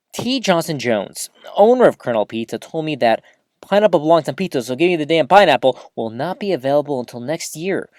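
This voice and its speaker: A performer plays an eager, easily flustered small-town radio host in an audio drama. The androgynous voice sits at a middle pitch and swings expressively through each sentence. The delivery is folksy and earnest, addressed straight to the townsfolk, in a dry recording. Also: no room sound, natural voice. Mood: chatty, dramatic. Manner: folksy, chatty, expressive, addresses listeners directly. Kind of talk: scripted audio drama as local radio broadcast.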